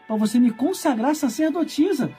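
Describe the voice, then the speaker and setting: Scheming biblical woman, wheedling, pleading, soft high voice, as a preacher puts her on. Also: animated, moderate pace, faint music behind. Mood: coaxing. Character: scheming biblical woman Miriam coaxing Aaron.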